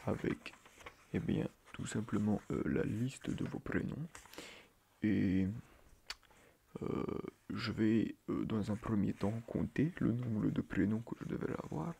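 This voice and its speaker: A man playing a fussy, flustered schoolteacher in a soft-spoken ASMR roleplay, hesitant, breathy and stiffly formal, often muttering near a whisper. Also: lowish voice, slight background noise, heavily acted. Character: fussy, flustered male schoolteacher on first day.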